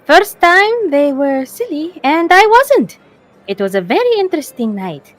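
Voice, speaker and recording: A woman's voice: high voice, big pitch swings, unhurried. A woman taking part in a tabletop roleplaying game, switching between narration and character dialogue. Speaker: player.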